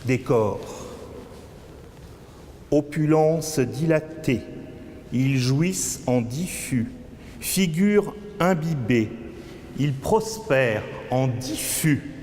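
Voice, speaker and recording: A man performing avant-garde spoken-word poetry in a declamatory way, swinging between low muttered phrases and high, drawn-out cries. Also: animated, darkish tone. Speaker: poet performing own work. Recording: long cavernous reverb with background noise.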